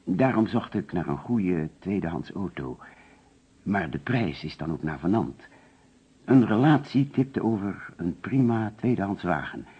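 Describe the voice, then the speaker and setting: A male insurance agent under suspicion in a radio drama. His voice is very deep and rough-edged, and he explains himself in a hesitant, defensive manner. The old recording sounds muffled. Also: animated, a little slow, full character voice. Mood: uneasy, explaining. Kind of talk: radio play acting.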